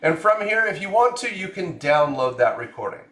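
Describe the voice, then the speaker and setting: A man presenting a software tutorial, explaining clearly step by step in a scripted narration style. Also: on the high side, very animated, normal speed, echoey room.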